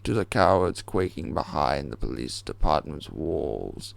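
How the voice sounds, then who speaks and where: A man playing a menacing villain who slowly proclaims threats, theatrical and ominous, in an amateur video game fan dub. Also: rumbling low, big pitch swings, vocal fry, no echo, full character voice.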